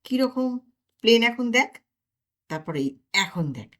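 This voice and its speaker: A woman's voice: fairly high pitch, animated, measured pace, a bit bright. A woman voicing a boastful young man who loves exercise and lectures his friend. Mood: showing off. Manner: lecturing, showing off.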